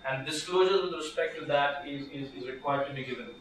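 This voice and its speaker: Fast-talking Indian lawyer in an echoey room. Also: male voice, on the high side. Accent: Indian English. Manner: conversational, lots of restarts and fillers, gestures while standing. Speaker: workshop speaker, lawyer. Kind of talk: informal legal workshop talk. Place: small seminar room with projector. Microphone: far camera, no mic. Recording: echoey room from far off, background noise.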